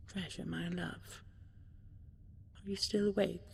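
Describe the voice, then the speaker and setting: A woman narrating a scripted bedtime fairy tale as a sleep aid, in a hushed, breathy, soothing manner. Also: lowish voice, steady tone, slow pace, a little hiss or hum. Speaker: audio roleplay storyteller.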